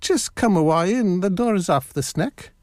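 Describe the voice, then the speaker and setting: Old scottish granny, sixties, frail n kindly, high quavery voice, slow, storybook. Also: female voice, animated, a little mellow, no echo, studio quality. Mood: frail, welcoming. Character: frail, kindly sixty-year-old granny, poorly in bed. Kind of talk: children's storybook character voice.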